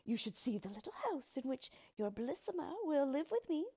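A woman voicing an ugly little yellow dwarf man from a storybook, smug, sly and disdainful, speaking in a gloating, mock-polite, emphatic way. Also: sits high, big pitch swings, brisk, voice pushed up. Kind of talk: storybook character reading.

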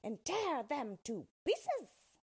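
A woman voicing a cruel wicked witch who gives orders in a shrill, drawn-out voice. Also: wildly swooping pitch, a little mellow, exaggerated voice.

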